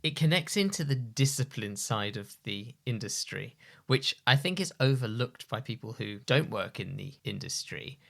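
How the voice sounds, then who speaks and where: A man hosting an interview, asking questions in a measured way. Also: mid-range voice, animated, vocal fry, fairly bright tone. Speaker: podcast host.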